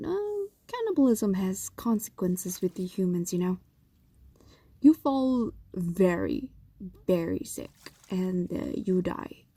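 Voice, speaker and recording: Woman acting a possessive, slow-spoken fox demoness. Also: mid-range voice, creaky, warm tone, husky, dry recording, full character voice. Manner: coaxing, hesitant explanations with whispers and sighs. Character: possessive, doting yandere female fox demon, ancient and predatory. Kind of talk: intimate audio roleplay acting, addressed to a lover.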